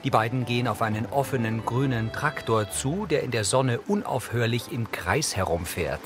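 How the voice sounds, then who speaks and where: A man's voice: medium pitch, lively intonation, normal speed. A man giving neutral, factual audio description in scripted present-tense narration. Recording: music behind the voice.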